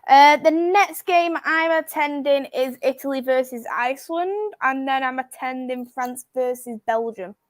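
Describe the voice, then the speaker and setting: A woman's voice: high-pitched, a little slow, few pauses. A woman hosting a football watchalong, giving earnest match analysis and teasing her co-host. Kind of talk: casual football chat.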